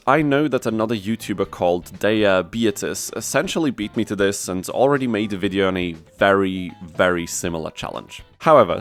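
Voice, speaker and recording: A man giving a scripted, wry and dryly sarcastic narration for a gaming video. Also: lowish voice. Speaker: video creator and host.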